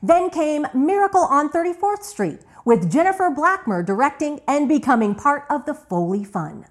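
A woman's voice: sits high, sing-song, moderate pace. A woman hosting and narrating a scripted radio documentary. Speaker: host narrator.

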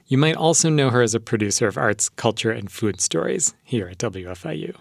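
A man's voice: mid-range voice, animated, a little fast, creaky, no echo, clean studio sound. A man hosting a public radio show, speaking conversationally as an interviewer.

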